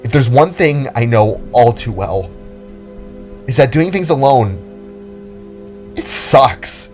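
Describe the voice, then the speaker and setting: A man plays a determined young heroine, caring and earnest. The voice is lifted higher than his narration and tends to ramble and plead, with music behind it in an audio drama. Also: expressive, takes it slowly, a little mellow. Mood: earnest, rambling. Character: determined, caring young female guitarist fighter.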